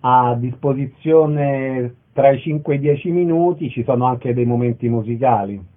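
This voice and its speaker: Man, radio host, middle pitch, thin band-limited audio, interviewing and announcing. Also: normal speed, phone-quality sound.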